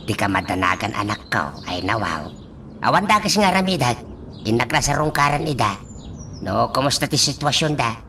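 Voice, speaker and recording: A woman voicing a kind, devout aging father in a radio drama, full of sighing exclamations and soft reassurance. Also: a bass voice, big pitch swings, moderate pace, recorded from a distance, slight background noise, full character voice. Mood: reassuring.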